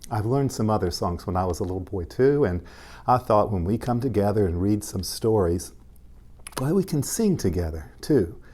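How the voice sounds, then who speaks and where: A man, a pastor, reading aloud to young children in gentle, simple language and addressing them directly. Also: lowish voice, animated, moderate pace.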